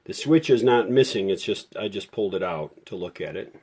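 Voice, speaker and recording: A man's voice: on the low side, animated, a little fast, a little mellow, husky. A man talking casually and off the cuff as he gives a hands-on repair walkthrough, with folksy, rambling explanations. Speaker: radio repairer presenting a restoration video.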